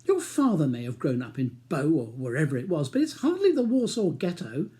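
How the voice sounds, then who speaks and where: A man reading a novel, voicing an exasperated, sharp-tongued upper-class English wife with a clipped, argumentative manner. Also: fairly high pitch, huge pitch swings, fairly quick. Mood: angry, exasperated.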